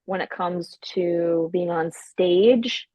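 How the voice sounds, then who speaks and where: A woman's voice: fairly low pitch, slow pace, dark tone, dry recording. A woman comedian guesting on a podcast, talking casually with a stutter, repeating sounds and restarting words, and swearing freely. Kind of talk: casual chat.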